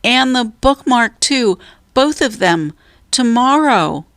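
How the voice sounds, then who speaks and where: A woman's voice: a little high, expressive, slow pace, a bit bright, no echo, clean studio sound, pitched above the performer's own voice, heavily acted. A woman voicing a cautious, thoughtful young boy in a children's storybook, speaking earnestly and carefully. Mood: calling out.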